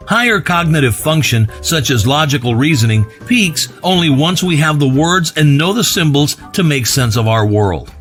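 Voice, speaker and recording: Man narrator, higher voice, animated scripted read, soft music behind. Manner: even, measured explainer read.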